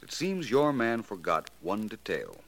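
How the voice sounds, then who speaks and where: A man acting in a 1940s radio drama as a calm, shrewd detective, measured and matter-of-fact. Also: fairly low pitch, creaky, a little hiss or hum, full character voice. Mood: coolly explaining.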